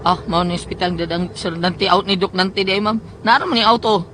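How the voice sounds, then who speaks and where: A man putting on a bumbling, slow-witted servant in a Filipino radio drama. His Filipino-accented chatter is very high and quick, full of stammered interjections, over faint background noise. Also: steady tone, close to an everyday voice. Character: flustered, slow-witted comic servant Gino answering his mistress. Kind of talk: Philippine radio drama acting.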